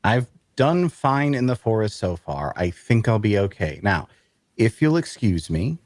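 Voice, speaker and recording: Guarded, grumpy male wanderer played by a man, unhurried and dry with wide pitch movement, close dry sound, fantasy audio drama. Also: very animated, no echo. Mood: wary, dismissive. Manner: dry, curt, hesitant. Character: guarded, grumpy, evasive loner male traveler. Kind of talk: dark fantasy audio drama table read.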